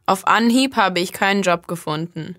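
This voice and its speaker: A woman's voice: on the low side, normal speed, fairly bright tone, no room sound. Woman reading, dry close sound. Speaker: reader of listening texts. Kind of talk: scripted reading for learners.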